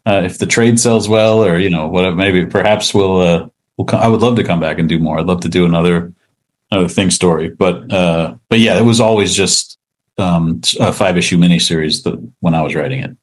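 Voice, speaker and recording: A man chatting casually as an interview guest, talking about his work in a halting, laid-back way. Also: sits low. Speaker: interview guest, comic book writer.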